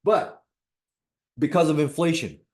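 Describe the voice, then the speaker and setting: A man of fifty talking casually about personal finance as an investor, animated, repeating points and checking in with "right?". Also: a little high, measured pace. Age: 50s. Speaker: real estate investor.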